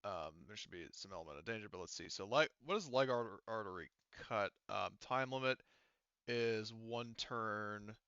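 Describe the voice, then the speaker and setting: A man running a tabletop roleplaying game as game master, casual and sweary, halting as he mutters through the rules. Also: lowish voice, pushed and bright, clear, resonant tone, dry recording, muffled recording. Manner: halting, muttering to himself while checking rules.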